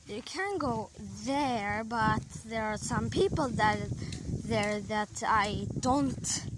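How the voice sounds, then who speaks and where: A woman's voice: a little high, heavy background noise. A woman from Sweden with a Swedish accent, chatting hesitantly through a casual outdoor vlog at a campsite, filming on a handheld camera with lots of fillers. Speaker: vlogger. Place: outdoor campsite among trees.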